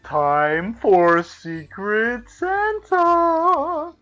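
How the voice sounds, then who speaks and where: A woman's voice: fairly high pitch, drawn-out words, a bit bright, dull audio, faint music behind, pitched above the performer's own voice. A woman voicing a kindly, patient schoolteacher in an undersea storybook, instructive and reassuring. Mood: announcing. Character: kindly female schoolteacher sea creature, patient and announcing.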